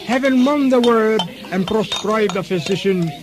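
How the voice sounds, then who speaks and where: A man's voice: high voice, unhurried. A man narrating a comic radio drama in a mock-solemn storytelling style.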